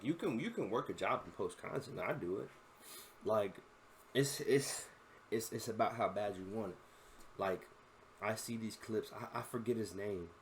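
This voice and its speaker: A man's voice: on the low side, slight background noise. A 21-year-old man and content creator, giving casual, rambling reaction commentary full of slang and constant "bro.".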